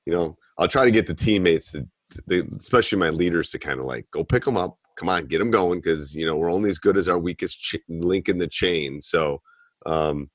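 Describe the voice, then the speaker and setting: A man with a very low, deep voice talks basketball coaching in a loose, rambling way, like he's chatting on a call. He sounds middle-aged and plain-spoken. The sound is dry and close, with no room around it. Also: brisk, no echo. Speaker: basketball coach and podcast host.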